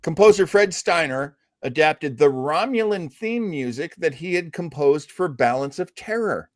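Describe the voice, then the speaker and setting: A man with a slightly high voice talks casually as a podcast co-host. The sound is dry, with very little room in it, and the delivery is informal fan chat. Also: lively intonation, unhurried, no room sound.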